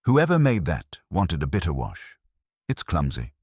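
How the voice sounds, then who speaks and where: A man's voice: rumbling low, huge pitch swings, unhurried, a little mellow, slightly rough, dry recording, clean studio sound, heavily acted. A man narrating a mystery story, voicing a stern, guarded woman baker who talks in terse, clipped, defiant phrases.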